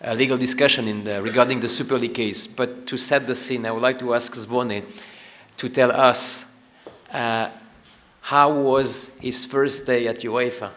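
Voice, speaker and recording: A man with a medium-pitched voice moderates a panel at a university event. He speaks courteously and at a measured pace, filling pauses with "uh.". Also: steady tone, a bit bright.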